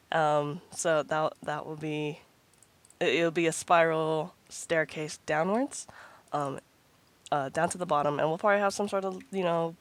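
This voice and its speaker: A woman with a deep, low-set voice talks through her game in a loose, rambling way, filling gaps with ums and restarting sentences. She sounds stuffy and congested, still getting over a cold. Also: fairly even intonation, measured pace. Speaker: gaming channel host. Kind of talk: casual gameplay commentary.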